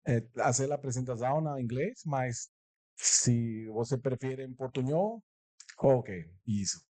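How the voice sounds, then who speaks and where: A man's voice: medium pitch, unhurried, mellow, no room sound. A man from Mexico whose first language is Spanish, giving a live talk at a corporate event with a Mexican Spanish accent. He jokes about himself, laughs often and slips Spanish words into his Portuguese. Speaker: guest speaker.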